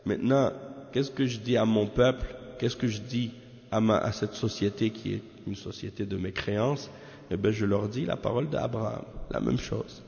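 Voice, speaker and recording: A man from Canada giving a measured religious lesson on a text, often tagging his sentences with questions. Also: fairly low pitch, fairly even intonation, creaky, a little mellow. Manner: measured, peppers talk with tag questions. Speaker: religious teacher. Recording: echoey hall, dull lo-fi sound.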